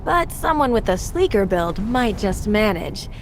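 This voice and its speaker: A woman playing a quick-witted, confident young adventurer in a video game, playful and given to reasoning aloud. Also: mid-range voice, big pitch swings, a little hiss or hum. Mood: determined, thoughtful. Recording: music behind the voice.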